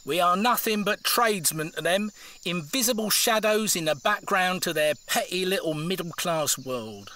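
A man's voice: high voice, animated, moderate pace, sharp tone. An actor playing a cynical, scheming British tradesman in an audio drama, blunt, dismissive and conspiratorial. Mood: smug reassurance. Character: cynical, scheming tradesman with a grudge against the countryside.

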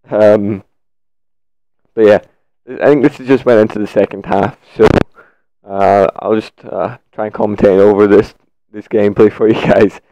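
Croaky young man on a dull mic. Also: lowish voice, mellow, dry recording. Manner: laid-back, rambling. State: croaky from a sore throat. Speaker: gaming YouTuber. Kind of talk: gameplay commentary voice-over.

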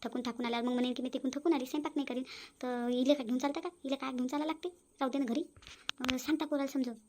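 A woman's voice: sits high, fairly even intonation, a little mellow, close to an everyday voice. Woman doing a gossiping village mother-in-law. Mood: bossy. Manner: fast scolding chatter. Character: scheming nosy middle-aged village mother-in-law. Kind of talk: cartoon voice acting.

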